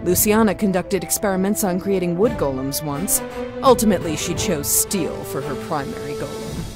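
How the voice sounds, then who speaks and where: A woman's voice: lowish voice, normal speed, pitched below the performer's own voice, lightly acted. A woman voicing a shrewd, wry head of a magic academy in a visual novel, formal and authoritative. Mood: lecturing. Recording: loud background music.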